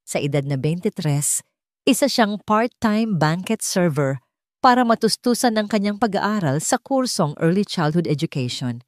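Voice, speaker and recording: A woman's voice: lowish voice, lively intonation, moderate pace, no room sound, studio quality. A woman with a Filipino accent reading a scripted, dramatic story as an audiobook narrator.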